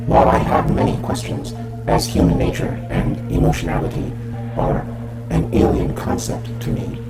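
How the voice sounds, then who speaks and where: A man voicing an emotionless, analytical machine-like alien with clinical, formal speech and synthetic processing. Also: normal speed. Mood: detached, analytical. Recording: echoey, under loud music.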